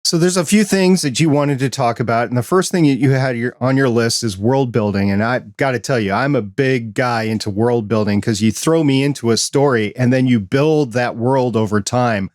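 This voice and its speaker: Middle aged guy podcast host, mid pitch, very dry sound, chatting casually. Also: moderate pace, hardly any pauses, dry recording. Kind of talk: casual interview chat.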